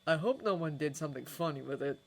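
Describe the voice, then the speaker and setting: A woman's voice: low-pitched, expressive, fast talker, creaky, slightly rough, heavily acted. A woman voicing a teenage boy wizard who talks urgently and earnestly.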